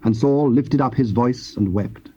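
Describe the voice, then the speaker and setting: A man narrating dramatized scripture in a formal, solemn and measured storytelling style. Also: medium pitch, mellow. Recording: muffled, hissy old cassette tape.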